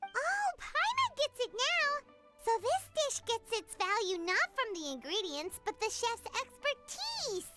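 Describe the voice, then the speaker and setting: A woman voicing a small, excitable, childlike fairy companion in a video game, exclamatory and squeaky, over music. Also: unhurried, exaggerated voice. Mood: excited. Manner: exclamatory, refers to self in third person. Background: playful background music.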